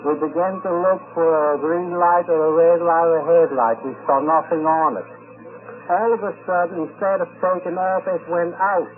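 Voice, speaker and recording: A man with a fairly high voice gives a halting, spontaneous account to an interviewer. The sound is a narrow, tinny 1950s radio field recording. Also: fairly even intonation. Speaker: convention attendee being interviewed. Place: convention, interviewed on location. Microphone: shared interview microphone.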